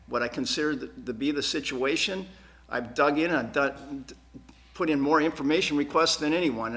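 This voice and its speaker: A man speaks as a candidate at a public forum in a medium-pitched voice. He is earnest and insistent, and his delivery is halting, doubling back on words as he argues. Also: sharp tone. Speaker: school board candidate. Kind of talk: answering questions at a candidate forum. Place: TV studio.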